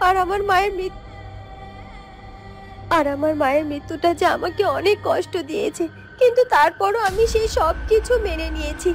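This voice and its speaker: A woman acts out a gentle village girl in a fairytale cartoon, with an extremely high voice. She sounds wronged and earnest, pleading in halting phrases over background music. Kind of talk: Bengali fairytale cartoon voice acting.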